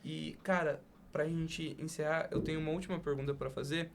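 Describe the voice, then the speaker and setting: A man with a somewhat high-pitched voice hosts a podcast. His delivery is deliberate and conversational, leaning poetic, with emphatic pauses between phrases. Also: fairly even intonation, gravelly, a little hiss or hum. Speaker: podcast host. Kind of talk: conversational hosting and spoken-word poem recital.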